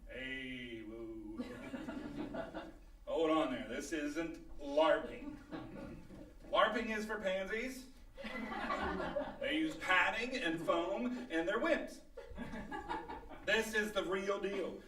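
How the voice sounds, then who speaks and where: A man's voice: fairly high pitch, very slow, long pauses, bright, forceful tone, heavily acted. A man playing a boastful weekend knight and hobbyist in a comedic radio play. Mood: indignant. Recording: echoey stage, distant mic.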